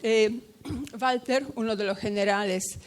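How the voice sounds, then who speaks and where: A woman's voice: on the high side, a little slow. Hesitant woman speaker. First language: Polish. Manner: hesitant, many fillers and restarts. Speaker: conference speaker. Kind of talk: academic talk at a panel.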